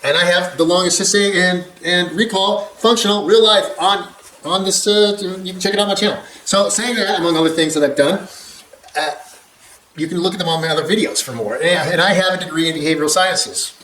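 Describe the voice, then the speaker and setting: A man's voice: high-pitched, fairly quick, bright, forceful tone. An older man, a longtime dog trainer, giving a blunt, rambling reaction commentary with the odd chuckle. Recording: echoey room with faint background hum.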